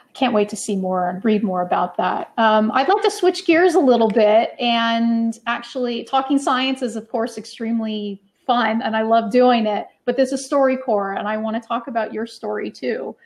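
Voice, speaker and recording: A woman's voice: on the high side, moderate pace. A woman interviewing a guest, friendly and encouraging. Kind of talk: interview questions.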